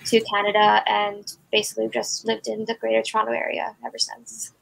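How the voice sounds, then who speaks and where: A woman's voice: a little high, monotone, brisk, gravelly, low-quality recording. A young woman from Sri Lanka giving a slightly nervous casual introduction. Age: young adult. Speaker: student guest.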